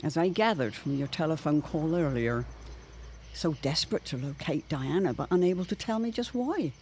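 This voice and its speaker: A woman's voice: sits low, animated, normal speed, darkish tone, slight background noise. Woman playing an ornate, theatrical, anxious matron. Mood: arch, probing. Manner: formal, ornate, theatrical phrasing. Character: Jane Pembroke, anxious, eloquent older neighbourhood matron with premonitions. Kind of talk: period radio drama acting.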